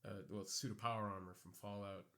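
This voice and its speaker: A man's voice: fairly low pitch, steady tone, quick pace, a bit bright. A young man co-hosting a casual podcast, rambling and joking crudely and laughing often. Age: young adult. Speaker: podcast co-host. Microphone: podcast microphone.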